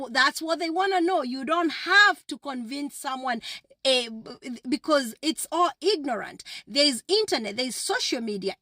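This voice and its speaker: High-voiced woman exhorting viewers. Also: fairly bright tone, no echo, clean studio sound. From Kenya. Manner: emphatic, repetitive, preacher-like exhortation. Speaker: vlogger. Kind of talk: motivational direct address to viewers.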